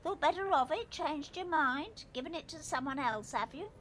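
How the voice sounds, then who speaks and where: A woman's voice: high-pitched, animated, normal speed, fairly bright tone, a little hiss or hum. An actress playing a pushy, teasing young girlfriend in an audio play, blunt and demanding.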